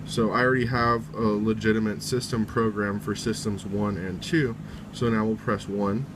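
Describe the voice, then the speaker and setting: A man's voice: on the low side, steady tone, moderate pace, background noise. A man giving a casual, step-by-step how-to in an informal way, often reiterating each step. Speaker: tutorial presenter.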